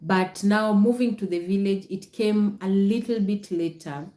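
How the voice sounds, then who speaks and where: A woman with a Kenyan accent hosts a casual livestream at an unhurried, slow pace. She explains things patiently to viewers and often repeats her phrases. The sound is noticeably echoey. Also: mid-range voice, dark tone. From Nakuru, Kenya. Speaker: content creator hosting a livestream.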